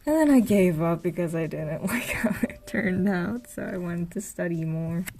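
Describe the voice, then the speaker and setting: A woman's voice: fairly low pitch, normal speed, vocal fry, a little hiss or hum. A young woman touring her old sketchbooks in a casual, hesitant way, full of fillers, her voice getting tired. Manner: soft-spoken, hesitant, lots of fillers. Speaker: art vlogger. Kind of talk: casual sketchbook tour narration. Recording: voice over background game music.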